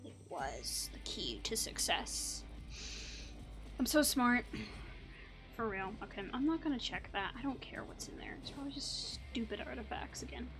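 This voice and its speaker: High-voiced woman playing a game. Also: very animated, normal speed, fairly bright tone. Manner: thinks aloud, playful asides. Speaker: gaming video host. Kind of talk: casual gameplay commentary. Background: game music.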